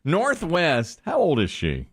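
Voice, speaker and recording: A man's voice: fairly high pitch, slow, no room sound, clean studio sound. A man hosting a morning radio show, telling stories in casual banter and mimicking other people's voices. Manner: animated storyteller, mimics voices.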